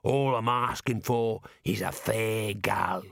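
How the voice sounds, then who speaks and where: A man's voice: on the low side, slow pace, vocal fry, a bit bright, dry recording, studio quality, full character voice. A man voicing a shifty, pushy male street hawker, talking in a wheedling sales patter. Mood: indignant. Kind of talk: audiobook character reading.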